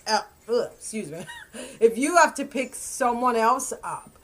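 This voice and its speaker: A woman's voice: mid-range voice, a bit bright. A woman in her fifties giving a rambling, casual book review, often correcting herself and laughing. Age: 50s.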